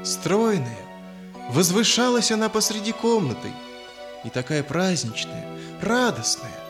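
Male storyteller, expressive dramatic narration, highly varied intonation, children's audio story, clear background music. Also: measured pace.

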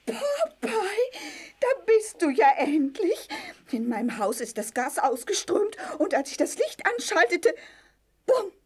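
A woman playing a sailor's scatterbrained, excitable girlfriend in a German children's audio play. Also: extremely high pitch, unhurried, heavily acted. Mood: relieved, chatty.

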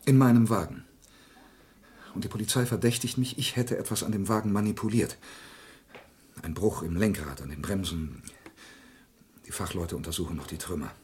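A man's voice: low voice, moderate pace, pauses often, darkish tone, slight background noise, natural voice. An actor playing a lonely, bitter antique dealer in a radio crime drama, stammering and theatrical in his agitation. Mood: agitated, confiding. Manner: agitated, stammering, theatrical, swinging between murmurs and outbursts.

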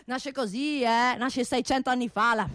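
A woman's voice: on the high side, moderate pace, fairly bright tone, close to an everyday voice. A woman voicing a pompous, bullying male arms-factory owner, blustering and folksy, tagging his talk with "eh?" in a comic theatrical monologue. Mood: proud, boastful.

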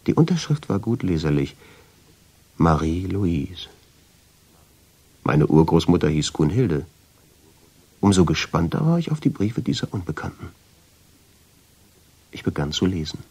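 Casting: haunted storyteller for radio drama, very low husky voice, hushed and deliberate delivery. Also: male voice, sing-song, full character voice. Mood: pensive, curious. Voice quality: gravelly, husky, breathy. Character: haunted male narrator uncovering old family letters. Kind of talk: first-person radio drama narration.

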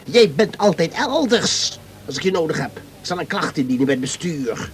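An actor playing a grumpy, demanding English lord with a haughty, complaining manner in a radio play. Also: male voice, a little high, big pitch swings, moderate pace, slight background noise. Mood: irritated, imperious.

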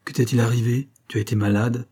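Man voicing a proud, bitter young wife, husky. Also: medium pitch, steady tone, fast, mellow, natural voice. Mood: alarmed concern. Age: young adult. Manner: railing, vehement, impatient.

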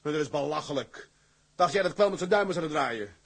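Blustering, husky tugboat skipper. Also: male voice, fairly high pitch, animated, fast, heavily acted. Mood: defiant. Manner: blustering, cursing, emphatic. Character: hot-headed, stubborn Dutch tugboat skipper, proud and impatient. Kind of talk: radio drama acting.

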